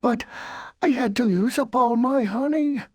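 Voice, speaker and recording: A woman voicing a gentle, naive teddy bear who muses slowly in a children's storybook. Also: fairly high pitch, slightly rough, dry recording. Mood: warm, polite. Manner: slow, musing, naive. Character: gentle, simple, kindly male teddy bear. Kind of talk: children's storybook character acting.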